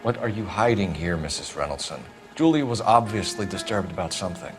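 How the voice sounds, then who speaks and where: Probing American detective, lively swooping intonation, clipped formal questions, game cutscene, music behind. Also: male voice, low-pitched, a little fast. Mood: probing, pressing. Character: firm, probing male 1940s Los Angeles police detective. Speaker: police detective. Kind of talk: video game cutscene acting.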